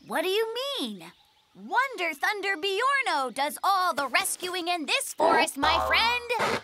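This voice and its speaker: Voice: very high-pitched, big pitch swings, takes it slowly, loud music behind the voice, full character voice. An actor voicing an eager, proud little polar bear cub in a children's cartoon, earnest and self-important.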